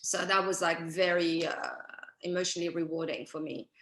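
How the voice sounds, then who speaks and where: A woman with a French accent carrying British traces, a native French speaker and actress telling stories in a chatty, animated way as an interview guest. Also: on the low side, a little fast, a little hiss or hum. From France. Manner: animated, chatty, lots of fillers and quoted dialogue. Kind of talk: casual interview storytelling. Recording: roomy echo.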